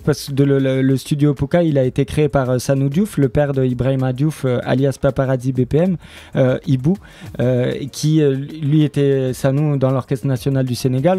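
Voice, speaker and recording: A man giving an unscripted radio interview as a guest, explaining his production in a hesitant, halting way. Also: medium pitch, warm tone.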